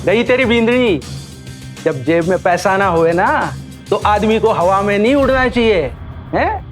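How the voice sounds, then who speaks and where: A sneering, arrogant shopkeeper in an audio drama, with a high-pitched voice and a thick rustic Rajasthani accent. He taunts people scornfully, with faint music underneath. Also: expressive, unhurried. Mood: mocking. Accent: Rajasthani Hindi. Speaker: voice actor.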